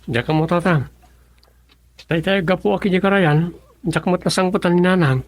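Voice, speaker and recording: Higher-pitched young Ilocano son, man acting. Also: moderate pace, no room sound, close to an everyday voice. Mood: cheerful, respectful. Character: dutiful young son who fishes the river. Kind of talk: Ilocano radio drama acting.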